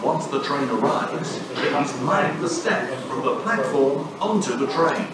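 A man giving calm, formal automated safety announcements at a railway station. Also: mid-range voice, measured pace, very noisy. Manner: calm, formal, even delivery. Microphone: station loudspeakers recorded from far off. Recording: very echoey platform speakers from far off. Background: station ambience.

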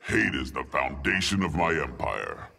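An actor playing a tyrannical alien god in a video game, with a very deep gravelly voice and a slow, imperious, menacing manner. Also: male voice, sing-song, noisy background, heavily acted. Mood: imperious, cold. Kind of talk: video game villain acting.

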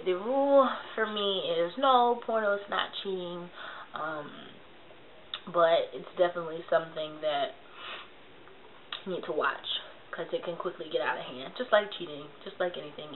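A young woman with a congested, nasal voice chats casually to camera, giving advice in slangy asides and smacking her lips as she talks. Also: lowish voice. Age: young adult. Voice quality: nasal from a cold. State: congested, slightly sick. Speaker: video blogger giving relationship advice. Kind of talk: casual confessional chat to camera. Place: small bedroom, indoors. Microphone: webcam mic at arm's length. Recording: tinny lo-fi webcam audio with hiss.

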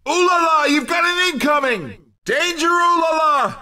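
An actor voicing an excitable, showy racing game commentator with a shouted, punchy delivery. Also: male voice, extremely high pitch, moderate pace, far from the mic, heavily acted. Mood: urgent, alarmed. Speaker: race commentator.

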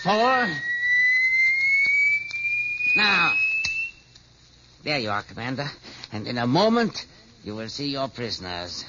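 An actor playing an anxious, deferential scientist in a 1950s radio drama, halting and full of suspense. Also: male voice, mid-range voice, huge pitch swings. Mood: suspenseful. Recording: muffled, very noisy old radio recording.

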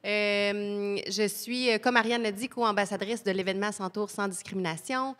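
A woman's voice: medium pitch, steady tone, moderate pace, a bit bright, long reverb tail. A young woman from Nunavik speaking frankly as a panelist, holding a handheld mic at a table in a community centre. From Nunavik, Quebec. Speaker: panelist, nutritionist. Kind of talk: panel discussion. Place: community centre hall, indoors.

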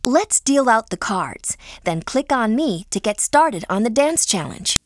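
A woman voicing a friendly teenage high school girl who gives clear, upbeat instructions in a dance video game. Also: sing-song, measured pace, loud music behind the voice, over a noisy crowd. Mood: upbeat, helpful.

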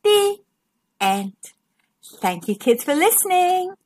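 Woman, children's read-aloud narrator, high voice, slow pace, expressive dramatic delivery. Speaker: children's storybook narrator and channel host.

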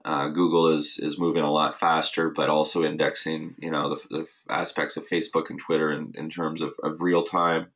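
Low-voiced guy rambling thru a marketing webinar, lots of "you know" and restarts. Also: flat delivery, moderate pace, hardly any pauses, fairly bright tone. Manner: casual, many restarts and "you know" fillers. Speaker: host of a weekly marketing update. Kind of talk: unscripted webinar talk.

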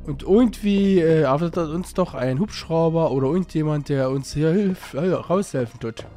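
Hoarse German-speaking gamer with a cold. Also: male voice, a little high, moderate pace, mellow, soft background music. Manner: rambling, self-correcting, reads game text aloud. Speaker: let's play host. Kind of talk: casual gameplay commentary.

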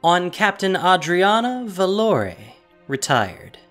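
A woman's voice: on the low side, big pitch swings, slow. A woman announcing and narrating a scripted fantasy audio drama. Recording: music behind the voice.

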